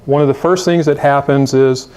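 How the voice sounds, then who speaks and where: A man's voice: medium pitch, fairly even intonation, moderate pace, a little mellow, smooth. A man giving a conference talk on design to an audience, emphatic and urging, with some fillers. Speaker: conference speaker. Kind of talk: conference presentation. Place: conference room.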